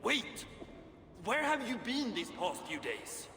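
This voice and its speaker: An actor playing a worried, cautious dwarf who counsels patience in a video game, with earnest, measured delivery. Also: male voice, very high-pitched, very animated, sharp tone, heavily acted. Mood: concerned, cautioning. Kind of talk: dramatic video game acting. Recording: long cavernous reverb, faint noise.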